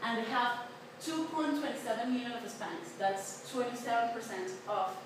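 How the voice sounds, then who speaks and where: A woman's voice: a little high, steady tone, normal speed. Young Colombian woman presenting in an echoey room. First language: Spanish. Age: 20s. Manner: chatty, with many fillers and restarts. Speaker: student presenter. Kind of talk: class presentation. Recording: echoey, noisy room from a distance.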